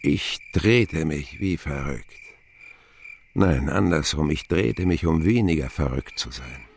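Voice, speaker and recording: An actor playing a grown man looking back on his boyhood, narrating a radio drama in a measured, reflective way. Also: extremely low pitch, animated, vocal fry, slight background noise, full character voice. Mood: wry, reflective.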